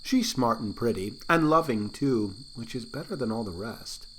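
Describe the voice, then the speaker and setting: A man's voice: mid-range voice, expressive, moderate pace, fairly bright tone, a little hiss or hum, lightly acted, rough recording. A man playing a shy, tender old farmer, muttering in folksy, plain speech in an audiobook drama. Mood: proud, tender.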